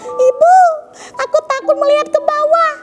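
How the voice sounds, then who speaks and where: A woman's voice: extremely high pitch, fairly even intonation, normal speed, over music, voice pushed up. A woman voicing a timid little rabbit child, plaintive and hesitant, in a children's story. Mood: frightened.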